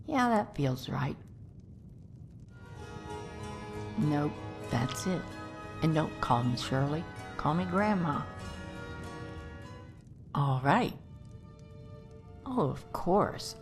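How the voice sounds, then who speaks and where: Woman playing low-voiced, unhurried old grandmother adventurer. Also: animated, music in the background, heavily acted. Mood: feisty, self-assured. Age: older. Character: feisty old grandmother adventurer, warm storyteller. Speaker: companion character in a game mod. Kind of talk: voiced game character dialogue, storytelling.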